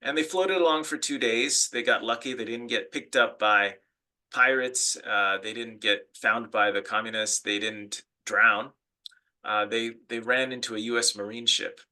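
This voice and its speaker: A man's voice: mid-range voice, moderate pace, sharp tone. A middle-aged man with an American accent giving an online lecture, telling stories earnestly with fillers and restarts. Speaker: academic speaker.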